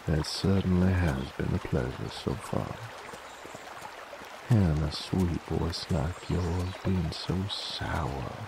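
Man acting a very deep, gravelly cowboy werewolf. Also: noisy background, exaggerated voice. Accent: American Southern or Western drawl. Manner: folksy, drawling, wry, unhurried. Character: laid-back, teasing, dominant male werewolf bounty hunter. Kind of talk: roleplay ASMR monologue.

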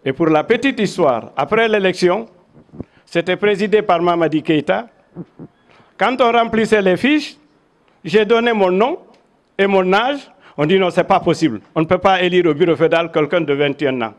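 An older man with a Guinean accent giving a measured, oratorical public speech with personal recollections into handheld microphones. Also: sits high. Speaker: veteran local dignitary. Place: crowded covered event venue. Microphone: handheld microphones held in front.

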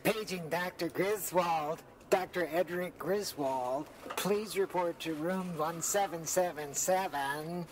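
A man's voice: on the high side, slow pace, long pauses, voice pushed down. A man voicing an impersonal hospital paging announcer. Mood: flat.

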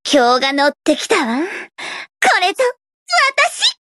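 Casting: very high-voiced teen gothic idol girl, anime game, grandiose yet shy, unhurried delivery. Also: wildly swooping pitch, bright, forceful tone, dry recording, studio quality, full character voice. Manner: grandiose, dramatic archaic declarations, slipping into shy sweetness. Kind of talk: anime game character voice lines.

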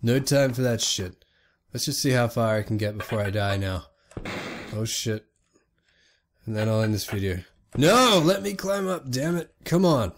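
A sick young man giving casual, excitable let's play commentary on a retro game, swearing often and talking to the characters on screen. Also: medium pitch, moderate pace, long pauses. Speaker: gaming YouTuber.

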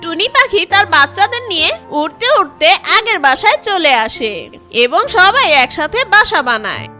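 A woman's voice: very high voice, very animated, unhurried, pushed and bright, faint music behind. A woman narrating a scripted cartoon story for children.

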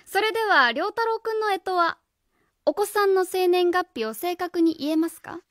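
A woman's voice: very high voice, normal speed, a bit bright, dry recording, clean studio sound. A woman playing an earnest, suspicious schoolteacher in a radio drama, speaking politely and precisely as she questions people. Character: earnest, suspicious female schoolteacher on a home visit. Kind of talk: Japanese radio drama acting.

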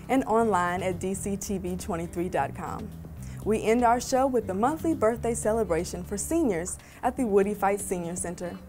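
A young adult woman presenting a scripted TV show sign-off. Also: medium pitch. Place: TV studio.

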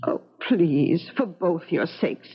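A woman's voice: on the low side, expressive, takes it slowly, slightly rough, heavily acted. An actress playing a devoted, motherly servant in a 1970s radio drama, anxious, coaxing and deferential. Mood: anxious pleading. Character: fussing, devoted older female servant, timid and motherly. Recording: dull 1970s radio recording.

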